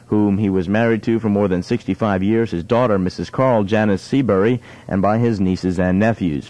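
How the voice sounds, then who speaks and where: A young man reads the local news on radio in a low, mellow voice. He follows a script and occasionally trips on a word. The sound is dry and close, as in a small studio. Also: no echo, rough recording. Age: young adult.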